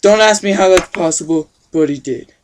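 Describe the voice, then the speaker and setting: An amateur acts out an old grandpa telling a homemade comic story. The delivery rambles in a folksy way, full of youthful slang. The pitch sits in a middle range. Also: normal speed, a little hiss or hum, lightly acted. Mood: jovial, rambling. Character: totally wicked awesome old grandpa telling a tale.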